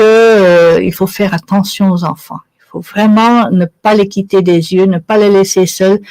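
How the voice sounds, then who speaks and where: A woman of Italian origin speaks as an interview guest at a middling pitch. She talks conversationally, explaining things through examples and small anecdotes. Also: rough recording. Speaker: interview guest, charity sponsorship volunteer.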